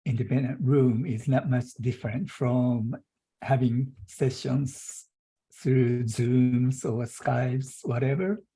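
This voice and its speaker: A man taking part in an online discussion, speaking in a measured way and searching for his words. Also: medium pitch, fairly even intonation, mellow, breathy edge. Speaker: workshop participant.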